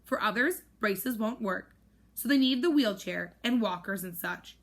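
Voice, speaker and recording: A woman's voice: medium pitch, animated, unhurried. A woman teacher voicing a stern, tall woman who scolds a girl, read aloud from a storybook.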